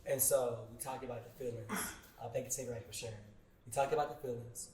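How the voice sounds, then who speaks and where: A man's voice: mid-range voice, steady tone, fast, dark tone. A man hosting a group discussion, posing questions to the participants as moderator. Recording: echoey room, distant mic, faint noise.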